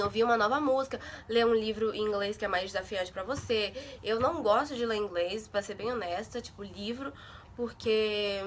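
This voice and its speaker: A woman's voice: fairly high pitch, a bit bright, slight background noise. A young woman from Brazil in a casual vlog, chatting to her viewers and often adding little check-in words. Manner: chatty, fills with "sabe?" and "gente". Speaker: vlogger. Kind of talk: casual chat to camera.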